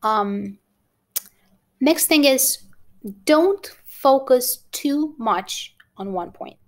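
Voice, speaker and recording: A woman's voice: high voice, expressive, drawn-out words. A woman with a Romanian accent teaching an exam-prep lesson to camera, encouraging and often checking in with "okay?". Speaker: English teacher.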